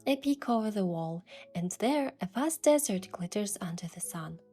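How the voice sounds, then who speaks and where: A woman voicing a curious young woman posing as a princess, narrating her own story softly in a measured, wistful way. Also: mid-range voice, expressive, soft background music, natural voice. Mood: soft, descriptive. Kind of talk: scripted first-person story narration with acted dialogue.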